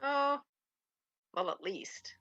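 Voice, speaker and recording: A woman's voice: sits high, lively intonation, very slow, pushed and bright, full character voice. A woman playing a knowing, gossipy western saloon woman in a radio drama, casual and pointed. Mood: knowing, teasing. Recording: slightly dull recording, guitar music behind.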